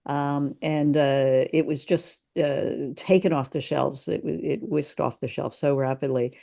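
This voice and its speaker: A woman co-hosting a podcast, explaining things in casual discussion and thinking aloud in a halting way. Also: low voice, steady tone, creaky, dark tone. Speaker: podcast co-host.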